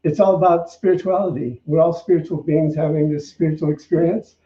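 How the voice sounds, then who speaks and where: A man's voice: fairly high pitch, fairly even intonation, fairly quick, dark tone. Man with lightish voice in echoey room. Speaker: minister, co-host. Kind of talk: informal spiritual talk. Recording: slightly dull sound, roomy echo.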